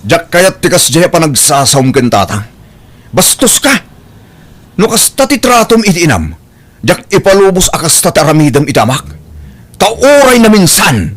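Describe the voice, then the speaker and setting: Actor as young husband, higher-pitched, lively swings, Ilocano accent, stern, exasperated, audio drama. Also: male voice, normal speed. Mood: angry.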